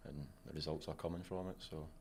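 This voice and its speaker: A man's voice: very deep voice, steady tone, fast talker, mellow, a little hiss or hum. A young man with a Glaswegian accent, a student soccer captain giving brief, plain interview answers in a college studio. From Scotland. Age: young adult.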